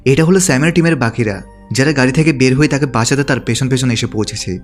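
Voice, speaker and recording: A man narrates a movie recap from a script at a quick pace. His voice sits at a middling pitch, with music playing behind him. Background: background music.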